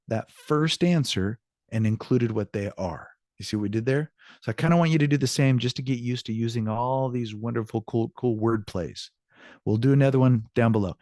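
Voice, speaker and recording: A man's voice: mid-range voice, a little mellow, no room sound, clean studio sound. A man teaching an online language lesson, informal and encouraging as he explains examples and switches between two languages. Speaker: Spanish teacher. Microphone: video call.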